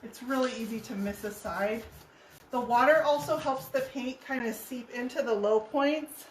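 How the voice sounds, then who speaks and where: A woman in her thirties with a hoarse voice, hosting a live furniture painting tutorial and chatting as she works. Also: fairly high pitch, moderate pace. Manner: chatty, laughs easily. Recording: roomy, distant mic, faint noise.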